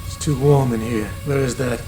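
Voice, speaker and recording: An actor playing an uneasy, prickly man with halting, terse speech in an audio drama. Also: mid-range voice, fairly even intonation, lightly acted. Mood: anxious, curt. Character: uneasy, prickly man who dreads water. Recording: music behind the voice. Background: music.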